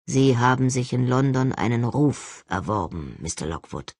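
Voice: mid-range voice, lively intonation, moderate pace, a little mellow, no echo, studio quality, voice pushed down. An audiobook reader voicing a stern, arrogant older male agency director, speaking in an expressionless, formal and condescending way. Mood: cold, threatening. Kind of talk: audiobook character acting.

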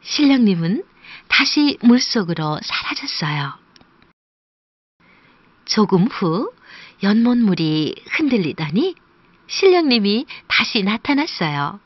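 Slow sing-song female storyteller. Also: mid-range voice, very animated, no echo, highs cut off. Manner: gentle sing-song telling, sometimes hushed. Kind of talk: scripted children's storybook narration.

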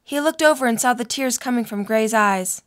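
A woman's voice: fairly high pitch, normal speed. A young adult woman reading scripted story narration for an audio drama.

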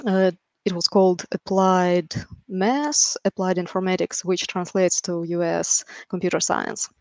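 Female podcast guest, Ukrainian accent, lowish pitch, measured and drily funny, dry headset sound. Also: steady tone, no room sound. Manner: dry understated humour. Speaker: podcast guest, software engineer. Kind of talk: measured interview storytelling. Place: room at home, video call. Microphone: headset with boom mic.

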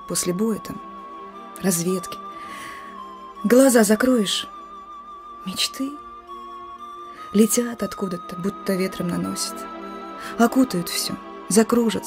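A woman playing a young female partisan sentry in a radio drama, blunt and colloquial, gruff yet dreamy. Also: medium pitch, close to an everyday voice. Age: young adult. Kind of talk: Russian radio drama acting.

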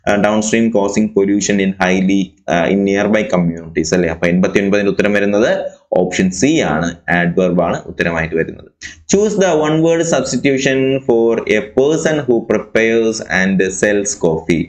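A man's voice: on the low side, lively intonation, slow. A male exam tutor, talking through answer keys in a chatty, explanatory way with rhetorical questions. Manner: mixes English answer phrases into explanations. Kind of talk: answer key walkthrough.

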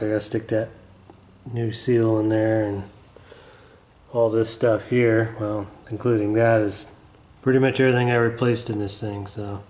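A man hosting a casual repair vlog, talking loosely with lots of fillers as he works on parts in a garage, filming on a phone. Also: lowish voice. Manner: mumbly, relaxed, trailing off with fillers. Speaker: channel host. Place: garage, working on a floor bench.

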